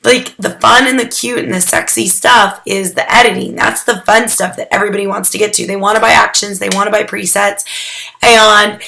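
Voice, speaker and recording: A hoarse young woman getting over a cold, talking casually through a photography critique, rambling with lots of "um"s and restarts. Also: medium pitch, steady tone, a little fast, bright, forceful tone, slight background noise. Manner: chatty and rambling, with many "um"s and restarts. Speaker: photography mentor critiquing student work. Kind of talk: casual recorded critique addressed to students.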